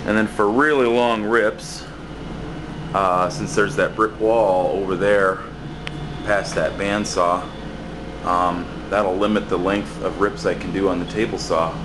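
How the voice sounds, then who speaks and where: A man's voice: low-pitched, fairly even intonation, unhurried, background noise. A man giving a casual, rambling walkaround tour of a cluttered basement workshop where he does building maintenance, talking with many fillers and restarts into a handheld camera. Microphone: handheld camera mic, speaker off screen.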